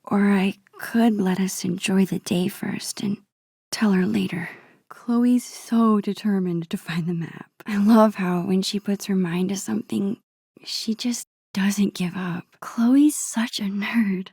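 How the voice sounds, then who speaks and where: A woman voicing a shy, thoughtful young American teenage girl in a video game, in a soft, breathy, musing inner-monologue voice. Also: mid-range voice, fairly even intonation, slow, no room sound, studio quality, exaggerated voice. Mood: musing, wistful. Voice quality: breathy, hushed.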